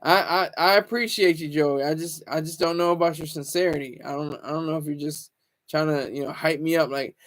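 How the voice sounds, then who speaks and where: A man's voice: fairly high pitch, fairly even intonation, brisk, a bit bright. A man hosting a casual livestream, rambling, teasing viewers and stumbling over restarts. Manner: stammers and restarts often. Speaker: livestream host.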